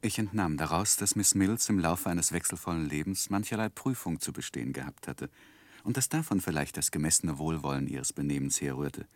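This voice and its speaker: An actor playing a gentleman who tenderly recalls his infatuated youth, narrating a 1960s German radio drama in a wistful, literary manner. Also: rumbling low, fairly quick, no room sound. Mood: wry, reflective. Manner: wistful, ornate literary phrasing.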